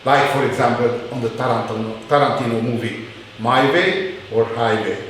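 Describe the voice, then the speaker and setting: Hungarian-accented lecturer in an echoey hall. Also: male voice, mid-range voice, a bit bright, slight background noise. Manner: halting non-native English with many fillers. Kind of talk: university lecture. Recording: very echoey hall, mic far off.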